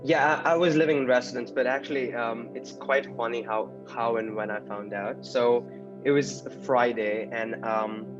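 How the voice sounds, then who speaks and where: Young man, mid pitch, quick jokey storyteller, dull lo-fi sound, background music. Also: steady tone. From Mumbai, India. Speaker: international student. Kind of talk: storytelling interview answer.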